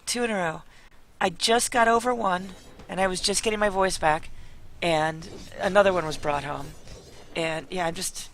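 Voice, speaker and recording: A hoarse, croaky young-adult live streamer from Chicago, chatting casually late at night with many fillers. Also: steady tone, moderate pace, a bit bright. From Chicago, United States. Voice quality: croaky, gravelly. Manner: rambling with many fillers, then low and sleepy. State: hoarse, losing voice.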